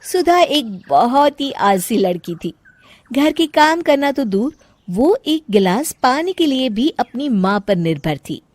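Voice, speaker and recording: A woman narrator reads a scripted story. Her delivery is unhurried and expressive, with lively rises and falls. The recording is tight and dry. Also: sits high, dry recording.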